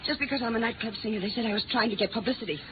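An actress playing a scared young nightclub singer in a 1950s radio drama, halting and anxious, repeating herself, on a scratchy old recording. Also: female voice, on the high side, phone-quality sound, lightly acted. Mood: anxious, defensive. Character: frightened young female nightclub singer, panicky and pleading.

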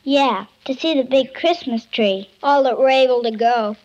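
A woman's voice: sits high, moderate pace, darkish tone, dull audio, lightly acted. A woman playing a small, innocent, curious child in a 1950s radio drama, simple and guileless.

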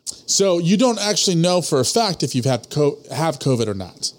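A man's voice: fairly high pitch, sing-song, moderate pace. A young man co-hosting a podcast in casual banter, full of sarcastic ribbing. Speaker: podcast co-host.

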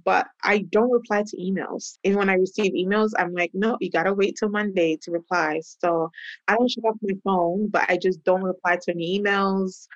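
A woman from Ghana, speaking as a podcast guest, talks in a relaxed and reflective manner. Her voice sits a little low, and the recording is close and dry, with no room sound. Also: no echo, dull audio. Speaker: guest, tech professional and founder. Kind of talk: podcast interview.

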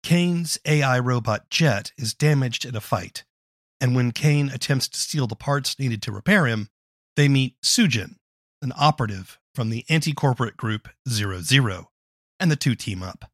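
A man's voice: mid-range voice, animated, normal speed, no echo, clean studio sound. A man reading scripted narration as the host of an interview podcast.

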